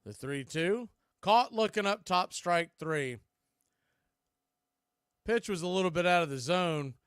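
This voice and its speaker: A man's voice: high-pitched, animated, measured pace, fairly bright tone, clear, resonant tone, no room sound, clean studio sound. A man doing live baseball play-by-play as announcer and host, conversational and opinionated.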